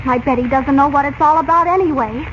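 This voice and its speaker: An actress playing a plucky, impulsive American girl in a 1940s-style radio adventure serial, earnest and excitable, with an American accent. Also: high voice, fast talker, close to an everyday voice. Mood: indignant, urgent. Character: plucky, impulsive American girl held captive, naive and indignant. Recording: muffled old-radio sound with hiss.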